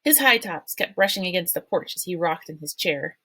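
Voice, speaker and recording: Woman reader, children's novel read-aloud, steady storytelling, dry sound. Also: lowish voice, a little fast, husky, no echo.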